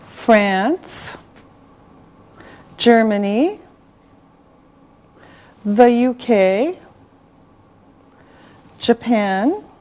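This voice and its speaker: Slow, patient woman teaching English. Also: medium pitch, darkish tone. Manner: very slow and deliberate, one word at a time, with repetition; patient, encouraging classroom tone. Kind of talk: scripted language lesson for beginners.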